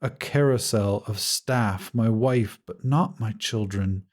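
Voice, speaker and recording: A man narrating a scripted first-person story in a measured, dramatic way with deliberate pauses. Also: fairly low pitch, a little mellow. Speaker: audiobook narrator.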